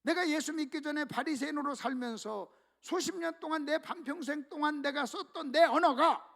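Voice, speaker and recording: A man preaching a sermon to a church congregation, leading them in call-and-response reading and swinging between hushed asides and loud emphatic exclamations. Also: very high voice, normal speed, vocal fry. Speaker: pastor. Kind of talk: sermon at a revival meeting. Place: church sanctuary.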